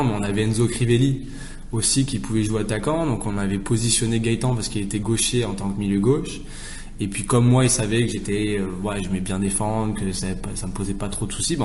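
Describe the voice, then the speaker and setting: A man's voice: lowish voice, a little mellow, slight background noise. A young man, a professional footballer, answering interview questions casually and explaining himself at length in a conversational way. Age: young adult.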